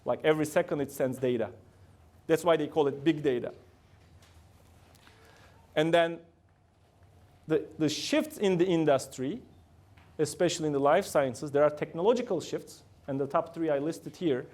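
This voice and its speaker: Turkish guy teaching a class, bit high voice, keeps asking the room stuff, loose grammar. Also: normal speed, pauses often, a little mellow. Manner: asks the room frequent questions, loose grammar. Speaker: guest lecturer. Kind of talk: classroom lecture with audience questions. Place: university lecture room.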